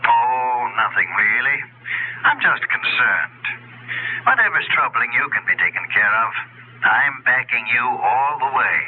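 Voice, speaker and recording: A man's voice: mid-range voice, big pitch swings, a little slow, slight background noise. An American actor playing a kindly, paternal middle-aged man in a 1970s radio drama, courteous and solicitous, heard through a telephone. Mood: concerned, kindly. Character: kindly, paternal older man, a future father-in-law. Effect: telephone filter. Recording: thin phone line, old radio.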